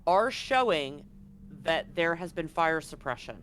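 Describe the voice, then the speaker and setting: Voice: mid-range voice, expressive, measured pace, fairly bright tone, a little hiss or hum. A game master explaining a tabletop roleplaying game in a conversational, explanatory way.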